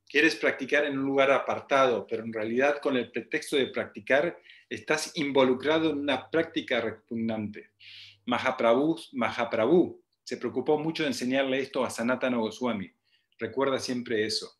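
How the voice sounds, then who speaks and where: A man's voice: medium pitch, fairly bright tone. A man in his forties teaching a spiritual class on a video call, reading and explaining scripture to a group and asking them questions. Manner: explains with hand gestures, asks the group questions. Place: small room with plain wall, video call. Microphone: laptop webcam mic on a video call.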